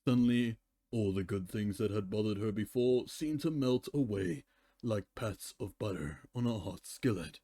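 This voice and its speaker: A man's voice: lowish voice, moderate pace, mellow, no echo. A man giving a live dramatic reading of fan fiction as narrator. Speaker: story reader.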